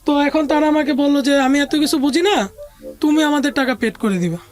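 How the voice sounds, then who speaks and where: A woman's voice: high voice, brisk, faint music behind. A woman with a Bangladeshi accent telling a personal life story as an interview guest, conversationally, often voicing what others said.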